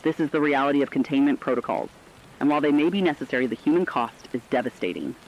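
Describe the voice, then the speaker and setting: A man's voice: medium pitch, animated, fast, close to an everyday voice. A man playing an earnest, compassionate radio co-anchor, giving solemn, emotive scripted commentary on breaking news. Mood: solemn, sorrowful. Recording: dull lo-fi radio sound, faint noise.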